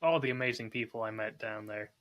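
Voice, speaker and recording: A man's voice: lowish voice, fairly quick, dull audio. A man chatting casually and informally as a podcast co-host. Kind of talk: casual chat.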